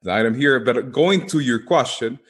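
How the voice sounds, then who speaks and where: A man's voice: medium pitch, normal speed. A man from Guatemala with a Guatemalan Spanish accent, explaining earnestly in an interview and often padding phrases as he goes. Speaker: podcast guest, legal tech executive.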